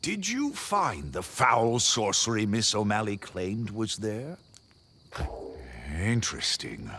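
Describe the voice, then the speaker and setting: A man playing a weary, disdainful knight in a video game, formal in speech, with a gravelly, husky voice. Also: on the low side, huge pitch swings, slow, sharp tone, a little hiss or hum, full character voice. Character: weary, disdainful knight keeping vigil against pirates. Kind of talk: video game character dialogue.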